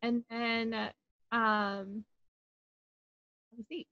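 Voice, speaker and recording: Bright-voiced chatty woman on a video call. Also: fairly high pitch, level tone, extremely slow, no echo. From New Hampshire and Virginia, US. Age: 30s. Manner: chatty, laughs easily. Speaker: co-host. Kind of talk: casual video-call chat. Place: home room. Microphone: webcam on video call. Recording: dull lo-fi call audio.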